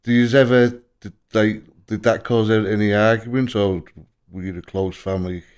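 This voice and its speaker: A man's voice: lowish voice, steady tone, moderate pace, darkish tone, smooth. A man co-hosting a podcast, asking loose, casual questions that trail off. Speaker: podcast co-host. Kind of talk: interviewing.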